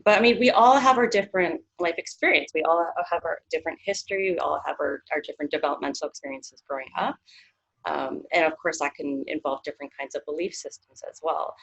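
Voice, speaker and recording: A woman in her thirties from Canada speaks as an interview guest. Her voice sits low, and she talks in a thoughtful way, circling back with many fillers and restarts. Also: vocal fry, fairly bright tone, low-quality recording. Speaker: psychologist.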